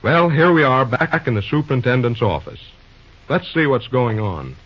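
A man's voice: medium pitch, big pitch swings, normal speed, breathy edge. A man announcing an old-time radio serial with declamatory, rhetorical scripted narration. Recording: dull old radio recording.

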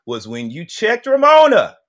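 A man's voice: high voice, wildly swooping pitch, unhurried, a bit bright. A man co-hosting a podcast, gushing and playful, who backs up his co-host with many affirmations. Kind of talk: casual podcast chat.

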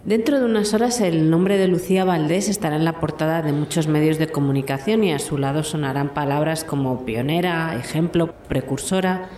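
A woman's voice: low voice, fairly quick, dark tone, natural voice. A woman playing an eager, earnest television reporter putting a question in an audio drama. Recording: roomy echo, slight background noise.

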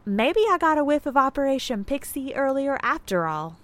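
A woman's voice: high voice, very animated, moderate pace, a bit bright, a little hiss or hum. A woman playing a goofy, exasperated moonbase maintenance worker who rambles casually alone in a sci-fi audio drama. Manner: casual, rambling, talking to oneself.

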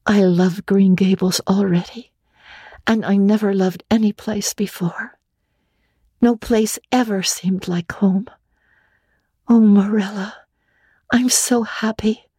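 A woman's voice: mid-range voice, fairly even intonation, takes it slowly, pauses often, warm tone, breathy edge, no room sound, clean studio sound, voice pushed up. A woman voicing an imaginative, talkative young girl in a storybook reading, earnest and dramatic, with gushing chatter. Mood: dreamy, happy.

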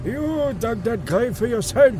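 An actor playing a grumbling, joke-loving old priest in an audio sitcom, teasing and sardonic. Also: male voice, very high-pitched, lively intonation, measured pace, over music. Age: older. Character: teasing, grumbling old male priest who loves his own jokes.

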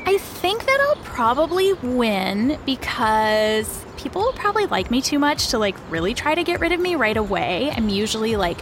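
A woman's voice: fairly high pitch, very animated, normal speed, hardly any pauses, noisy background. A woman playing a bubbly, self-regarding young social media influencer in an audio drama, gushing in valley-girl style. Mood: self-assured, chirpy. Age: young adult. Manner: gushing, filler-heavy valley-girl talk. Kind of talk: reality show confessional in audio drama. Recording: loud music bed behind voice.

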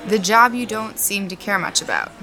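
A woman's voice: medium pitch, big pitch swings, normal speed, a crowd in the background, close to an everyday voice. A woman acting in a naturalistic audio drama as a confident, dryly teasing, blunt farm owner. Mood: pointed.

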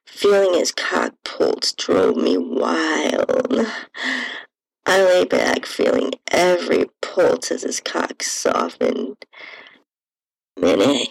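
A woman with a breathy, husky voice reading a first-person erotic story in a soft, intimate, near-whispered delivery. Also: low voice, no echo, low-quality recording. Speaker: podcast host narrating own stories.

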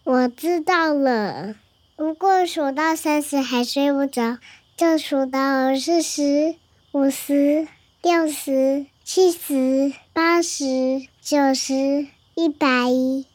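A woman's voice: high-pitched, a little mellow, no room sound. A woman voicing a curious little boy who cannot sleep, in a children's radio drama. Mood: eager. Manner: slow, earnest childlike delivery.

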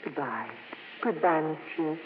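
A woman playing a gentle, devout, earnest nun in a 1950s radio drama, polite and softly hesitant. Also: low-pitched, unhurried. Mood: sorrowful, tender. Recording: narrow, noisy old radio broadcast.

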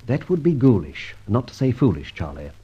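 Dashing adventurer, wry, brisk, animated pitch, husky, dull vintage radio sound. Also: male voice. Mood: brisk, commanding. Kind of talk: old radio drama acting and narration. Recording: dull vintage radio recording, faint music.

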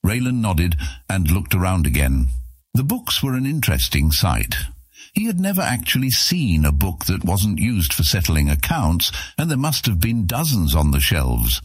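A man's voice: low voice, husky, no echo, studio quality. A man narrating a fantasy audiobook as a scripted story, in the role of narrator.

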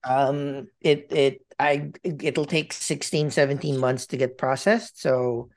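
A man giving personal updates in a casual chat, rambling and halting with many fillers and casual swearing. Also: mid-range voice, steady tone.

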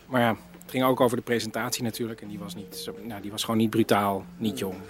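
An actor playing a disgruntled radio staffer in a comedy, dry and matter-of-fact. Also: male voice, lowish voice, little pitch movement, quick pace, faint music behind, close to an everyday voice.

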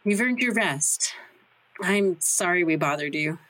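A woman playing a gentle, sensible and courteous rebel in an audio drama. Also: fairly low pitch, animated, measured pace, low-quality recording. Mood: sympathetic.

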